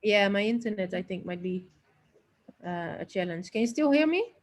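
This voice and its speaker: A woman's voice: medium pitch, expressive, normal speed. A woman from the Netherlands introduces herself as a managing director in an informal online seminar, over internet call audio.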